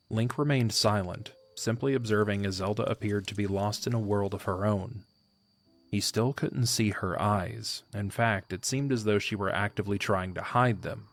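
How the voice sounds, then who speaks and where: A man narrating a scripted fantasy story in a measured, literary storytelling manner. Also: on the low side. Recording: faint background ambience noise.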